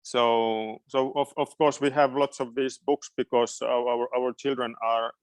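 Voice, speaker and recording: Slow, clear middle-aged man from Finland. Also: medium pitch, little pitch movement, no echo. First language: Finnish. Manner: hesitant, searching for words. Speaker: guest education expert. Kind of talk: informal question-and-answer talk.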